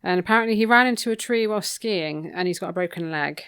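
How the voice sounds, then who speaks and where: A woman's voice: on the low side, quick pace, fairly bright tone, clear, resonant tone, dry recording, clean studio sound. A woman co-hosting a podcast, chatting casually through a recap with wry, opinionated asides. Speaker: podcast co-host. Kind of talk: casual book recap chat.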